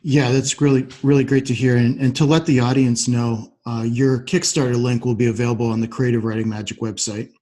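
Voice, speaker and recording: A man's voice: medium pitch, flat delivery, brisk, hardly any pauses, dark tone. A man hosting a podcast, interviewing his guest in a conversational, supportive way. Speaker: podcast host.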